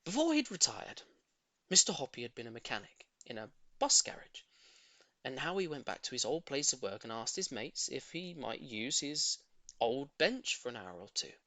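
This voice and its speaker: A man reading a children's story in an expressive, theatrical narrating style. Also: mid-range voice, moderate pace, no echo. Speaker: audiobook reader.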